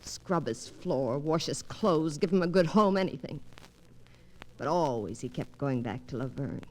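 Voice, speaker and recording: An actress playing a distraught, lovelorn and jealous killer in 1940s radio melodrama, highly emotional and pleading, on an old transcription disc. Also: female voice, lively intonation, unhurried, a little mellow, rough recording. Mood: desperate.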